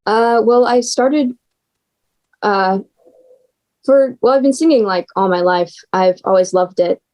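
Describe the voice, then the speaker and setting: A woman's voice: fairly high pitch, measured pace, a little mellow. A young woman, chatting casually as a guest writer. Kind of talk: casual chat.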